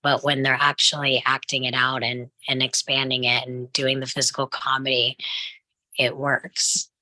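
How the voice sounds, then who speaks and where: A woman's voice: rumbling low, little pitch movement, moderate pace, pushed and bright, dry recording, poor audio. A woman giving her opinion informally in a casual discussion, with plenty of "like" in her phrasing. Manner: informal, uses "like" often. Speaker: panel participant.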